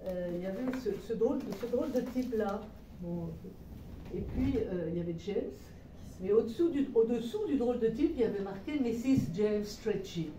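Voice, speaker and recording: A woman speaks as the guest at a book talk, telling her story in a rambling, hesitant way with many restarts. Her voice is deeply mellow and dark. It is recorded from a distance in a roomy space with a little background hum. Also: lively intonation, normal speed. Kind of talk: informal book talk to a small audience. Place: meeting room with a live audience. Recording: roomy echo, voice far from mic.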